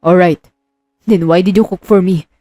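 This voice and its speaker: A woman's voice: on the low side, fairly even intonation, moderate pace, warm tone, lightly acted. A woman playing a brooding, suspicious husband, stern and wounded, in a romance audio drama. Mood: wary, questioning.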